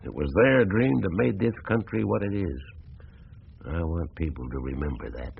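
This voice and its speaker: An actor playing a proud, folksy older American small-town businessman in a radio drama, with a husky, gravelly voice and a plain-spoken manner. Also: sits low, lively intonation, normal speed, narrow, tinny audio, full character voice. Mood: earnest.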